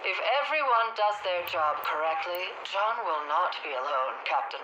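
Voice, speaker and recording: An imperious older woman scientist, voiced by an actress speaks with cold precision. The sound is dull and echoey, with clear static like a comm channel. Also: on the low side, expressive, close to an everyday voice. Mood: cold, possessive. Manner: precise, haughty, deliberate. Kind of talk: sci-fi game drama acting.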